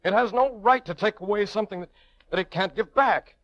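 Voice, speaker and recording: A man's voice: sits high, brisk, a bit bright, muffled recording. A man playing a stubborn, idealistic young lawyer in an old-time radio drama, speaking earnestly and insistently. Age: young adult.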